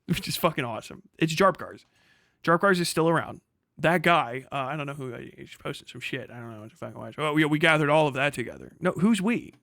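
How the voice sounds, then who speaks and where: Higher-voiced man, exasperated sarcastic streamer. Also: fairly bright tone, no echo, studio quality. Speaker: livestream host reacting to a recorded conversation. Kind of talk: casual reaction commentary, heavy swearing.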